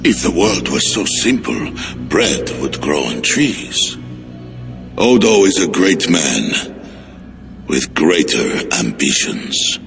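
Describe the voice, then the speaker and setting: A sly, unstable deep-voiced king. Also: very animated, slow, gravelly, a bit bright, heavily acted. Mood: scheming. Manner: swings between frightened pleading and sly, mocking scheming. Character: unstable, scheming medieval male king. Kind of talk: video game cutscene. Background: music.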